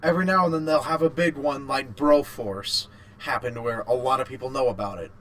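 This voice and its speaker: Male, mid-pitched voice, casual podcast chat, rambling, halting, repetitive phrasing. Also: a bit bright. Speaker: podcast co-host. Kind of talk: casual chat about video games.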